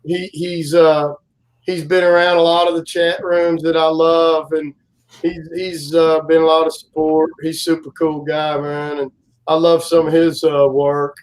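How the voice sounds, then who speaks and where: A man in his forties, a guest co-host chatting casually on a live stream, with a loud, carrying voice and a rambling way of telling stories full of fillers and restarts. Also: on the high side, flat delivery, unhurried, low-quality recording.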